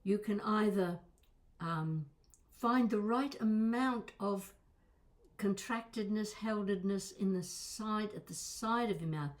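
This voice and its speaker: A woman's voice: medium pitch, slow. A woman coaching vocal exercises in a conversational, instructional way, stressing and stretching key words. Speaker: voice and accent coach.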